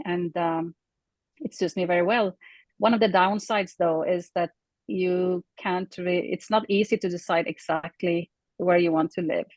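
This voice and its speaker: A Swedish woman in her thirties, a native Swedish speaker, speaking English casually as a guest on a video call and often pausing to search for words. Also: fairly low pitch, no echo, rough recording. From Sweden. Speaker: interview guest.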